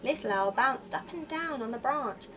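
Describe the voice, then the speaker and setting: A woman narrates a picture book for children. Her voice is fairly high and moves with lots of animated melody. The recording carries faint background noise. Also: moderate pace. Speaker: storyteller reading to children. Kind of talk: expressive children's storybook narration.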